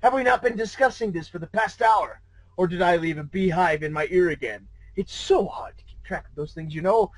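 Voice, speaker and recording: Man putting on an eccentric, theatrical old man, high-pitched. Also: fairly quick, dry recording, poor audio. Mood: giddy, coaxing. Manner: theatrical, rambling, philosophical. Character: eccentric, smug, rambling old man, secretly a chaos spirit. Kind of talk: fan fiction read aloud in character.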